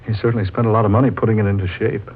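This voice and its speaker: An actor playing a practical, plain-spoken friend with an informal, matter-of-fact manner in a 1940s radio drama. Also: male voice, lowish voice, steady tone, racing, close to an everyday voice. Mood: casual. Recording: muffled, noisy 1940s radio recording.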